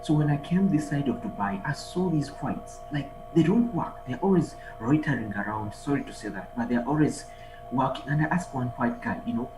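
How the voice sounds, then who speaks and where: A man from Uganda, giving a motivational conference talk over a video call, energetic and urging the audience on. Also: medium pitch, moderate pace. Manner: energetic, exhorts the audience with repeated calls. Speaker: guest speaker, online marketer and trader. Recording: echoey room with music behind.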